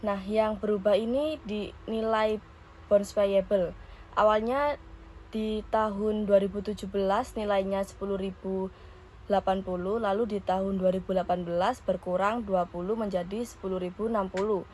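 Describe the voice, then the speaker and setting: A young woman gives an explanatory presentation, partly reading from notes in a measured, item-by-item way. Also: mid-range voice, fairly even intonation, a little mellow, low-quality recording. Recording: clear background room noise.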